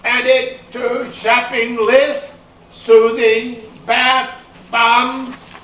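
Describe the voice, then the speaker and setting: Amateur actor as a very slow, deliberate smart speaker. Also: medium pitch, little pitch movement, a bit bright. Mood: flat, formal. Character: literal-minded, unhelpful smart speaker voice assistant. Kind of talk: amateur readers theater. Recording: echoey room from far off, noisy.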